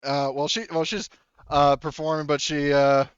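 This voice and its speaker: A man's voice: on the high side, level tone, normal speed, smooth, dry recording. A man running a tabletop roleplaying game, narrating and improvising in a loose, conversational way, on a slightly dull mic. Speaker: game master.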